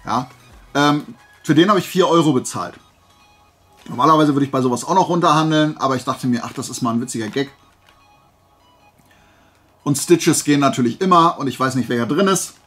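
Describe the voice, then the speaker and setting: A man's voice: medium pitch, brisk, pauses often, fairly bright tone, faint music behind. A man chatting casually and playfully to camera as a vlogger, rambling through asides. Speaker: YouTuber showing flea market finds.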